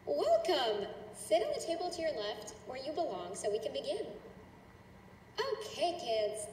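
Very high-pitched, sugary, menacing teacher played by a woman. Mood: cheerful, condescending. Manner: sugary, gushing, condescending with veiled threats. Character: cheerful, menacing female schoolteacher in a cartoon horror game. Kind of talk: character voice acting, addressing a class. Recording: roomy, distant, echoing with noise.

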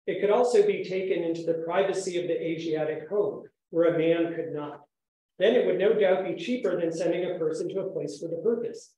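A man giving an academic conference talk as a lecturer, speaking in a measured, formal, articulate way in an indoor room. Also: fairly high pitch, dark tone. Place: echoey indoor room, speaker standing while presenting. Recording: very echoey room sound.

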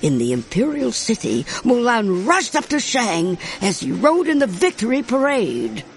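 Lively, slow-reading woman storyteller. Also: on the high side, a little hiss or hum. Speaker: storybook narrator. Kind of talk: scripted read-aloud children's story narration. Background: music.

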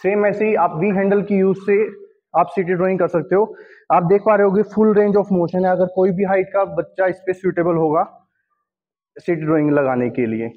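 A man's voice: sits high, steady tone, moderate pace. A man with an Indian accent, a gym equipment seller, walking through a product demo in his showroom, explaining each station while switching between Hindi and English terms. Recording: long hall reverb, dull lo-fi sound.

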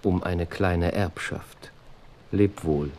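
An actor playing a cool, analytical gentleman detective in a radio drama, dry, self-assured and clipped. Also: deep voice, steady tone, slow. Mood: cold, cynical.

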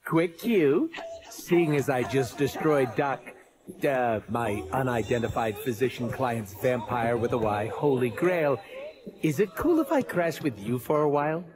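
A man's voice: mid-range voice, unhurried, over music, natural voice. A man playing an irreverent, wisecracking mercenary antihero in a video game, sarcastic and flippant. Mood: cheeky. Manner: sarcastic, flippant, cartoonishly animated. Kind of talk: comic video game character acting.

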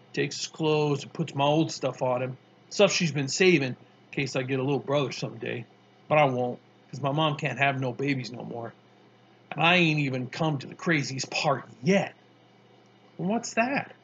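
A man's voice: medium pitch, moderate pace, muffled recording, a little hiss or hum, close to an everyday voice. A man voicing a brash, streetwise young boy who talks in slangy, boastful bursts. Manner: slangy, boastful, excitable storytelling.

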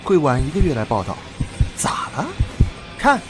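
An actor playing an excitable young male student in an audio drama, heard at a distance under music. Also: a little high, moderate pace. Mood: cheerful, indignant.

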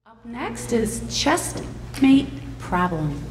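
An echoey hall surrounds a woman announcing a stage show, with faint noise behind her. She speaks slowly, delivering a scripted introduction. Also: a little high, sing-song. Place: indoor school stage hall.

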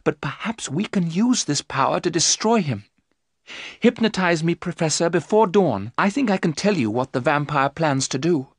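A man doing a high-voiced frightened young wife. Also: expressive, moderate pace, slightly rough, dry recording, clean studio sound. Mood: resolute. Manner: high, pleading and trembling. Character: frightened young wife under a vampire's spell.

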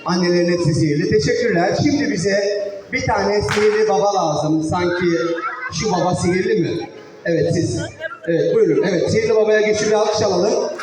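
High-pitched man hosting in a very echoey hall. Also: brisk, background noise. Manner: playful, short repeated prompts and questions. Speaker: host of a children's magic show. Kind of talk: live stage show patter addressed to children and parents. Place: large echoing venue with an audience. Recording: echoey hall, far from mic, music behind.

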